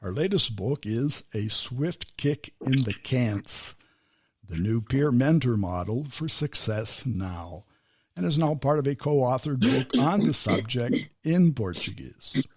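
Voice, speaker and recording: A man's voice: medium pitch, animated, slow pace, a little mellow, husky, no room sound. An older American man hosting a business interview podcast from his studio, reading a formal guest introduction and chatting easily. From United States. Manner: formal scripted introduction, then easy conversational interjections. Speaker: podcast host.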